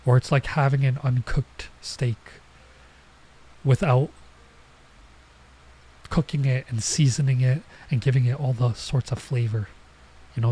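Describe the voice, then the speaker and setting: Man, game commentary, nasal stuffy voice, mellow, husky, rambling. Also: medium pitch, low-quality recording. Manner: rambling, chatty asides. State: coming down with a cold. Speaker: streamer. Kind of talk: casual let's play commentary.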